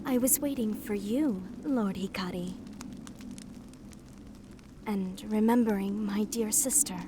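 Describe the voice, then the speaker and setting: Game role: actress as wistful female lute player, humble-born storyteller, measured and theatrical, slow delivery, noisy background. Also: mid-range voice, natural voice. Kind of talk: video game voice acting.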